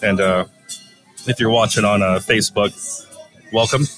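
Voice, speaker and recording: A man's voice: fairly low pitch, fairly even intonation, normal speed, a bit bright. A man with a stuffy, congested voice, co-hosting a casual chat from a small dive bar, laid-back and easygoing. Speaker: podcast co-host. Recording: music playing in the bar. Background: jukebox music.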